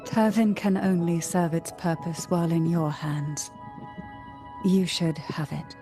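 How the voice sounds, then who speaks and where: Poised, low-voiced noblewoman played by a woman. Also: over music, lightly acted. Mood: solemn, courteous. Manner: formal, measured, eloquent. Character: poised, cultured noblewoman of secretive schemes, calm and knowing. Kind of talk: video game voice acting, scripted dialogue.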